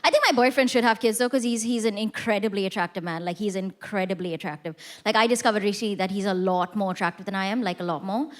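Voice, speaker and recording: A woman's voice: mid-range voice, quick pace, hardly any pauses. A woman performing a stand-up comedy set on a theatre stage, telling self-mocking jokes in a chatty, conversational way. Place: theatre stage before a live audience. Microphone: stage mic.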